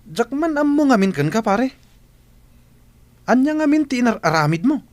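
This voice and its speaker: A man playing a frank, preachy buddy in a radio drama, with an animated, admonishing manner. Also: very high voice, normal speed, dry recording. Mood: probing, animated. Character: frank, preachy male buddy giving moral advice.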